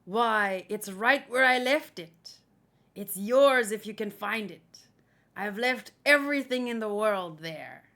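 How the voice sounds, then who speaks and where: A woman's voice: medium pitch, expressive, takes it slowly, a bit bright, voice pushed down. A woman voicing a bold male pirate king from a manga, making a grand proclamation. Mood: proud.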